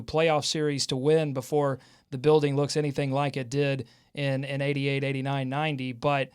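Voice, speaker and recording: A man's voice: medium pitch, clear, resonant tone. An American man hosting a live sports talk show, interviewing and chatting in a conversational way.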